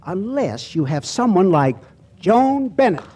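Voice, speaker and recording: Animated, higher-pitched 1940s male radio host. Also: measured pace, heavy background noise. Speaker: host and narrator. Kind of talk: 1940s radio scripted introduction. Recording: vintage 1940s radio broadcast.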